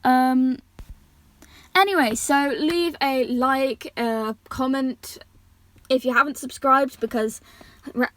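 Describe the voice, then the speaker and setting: A young woman with a fairly high voice works as an amateur reader and host. She is informal and prone to small stumbles and self-corrections. The sound is dry, with very little room on it. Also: fairly even intonation, slow pace, long pauses, no room sound. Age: teenager or young adult, still at school. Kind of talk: reading a story aloud with casual asides.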